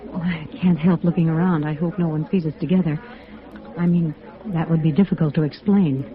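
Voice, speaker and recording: A woman's voice: sits low, fairly even intonation, brisk, close to an everyday voice. An uneasy American woman of principle, a school principal in an old radio noir, played by an actress. She speaks carefully and earnestly, with hesitations. The sound is narrow and tinny, like a vintage broadcast. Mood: nervous. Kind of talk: 1950s radio drama acting.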